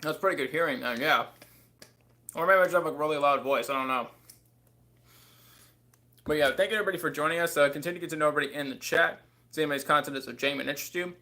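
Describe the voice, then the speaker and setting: A man's voice: fairly high pitch, racing, pauses often, pushed and bright. A man in his thirties hosting a casual livestream from a small bedroom, rambling with lots of fillers into a desk webcam. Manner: rambling, many fillers and "too". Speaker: livestream host. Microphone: webcam on desk, close.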